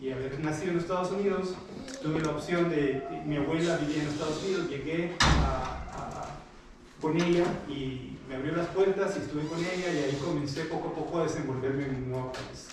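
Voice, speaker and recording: A man's voice: fairly high pitch, a little fast, noisy background. Hesitant man speaking in a distant echoey hall. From Guatemala. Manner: hesitant, many fillers and restarts, friendly. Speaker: guest speaker. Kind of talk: informal talk to students. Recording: echoey hall, picked up from afar.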